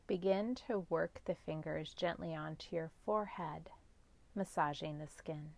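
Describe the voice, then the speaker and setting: A woman guiding a meditation as a yoga nidra teacher, speaking in gentle, measured instructions with long pauses. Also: deep voice, gravelly. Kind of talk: guided meditation.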